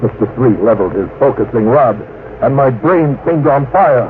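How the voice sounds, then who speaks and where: An actor playing a tough, intense adventurer, narrating gravely and deliberately in vintage radio drama style. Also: male voice, mid-range voice, lively intonation, natural voice. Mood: grave, suspenseful. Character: tough, intense male adventurer recounting his ordeal. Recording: tinny, noisy old radio broadcast.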